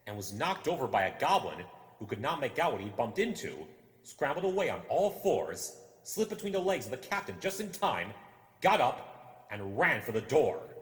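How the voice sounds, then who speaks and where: Animated male storyteller in deep reverb. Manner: suspenseful, theatrical phrasing. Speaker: audiobook reader. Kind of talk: dramatic scripted storytelling. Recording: echoey, long reverb.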